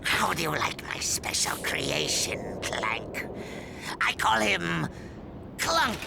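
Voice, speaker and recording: An actor playing a maniacal, grandiose robot villain in a cartoonish video game, pompous and theatrical, with bursts of shouting. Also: male voice, high-pitched, big pitch swings, takes it slowly, creaky, background noise, exaggerated voice. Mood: sly gloating.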